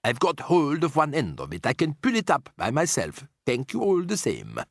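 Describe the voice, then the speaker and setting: A man voicing a calm elderly grandmother who speaks softly and slowly in a storybook reading. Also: a little high, vocal fry, no echo, studio quality, pitched above the performer's own voice, full character voice. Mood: tender. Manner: soft, tender, unhurried.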